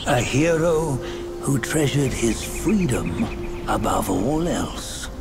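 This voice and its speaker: A weary old storyteller in a fantasy game. He speaks extremely slowly and solemnly, with a creaky, gravelly voice and long dramatic pauses. Music plays behind his reverent narration. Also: medium pitch, very animated, full character voice. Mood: solemn, wistful. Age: older.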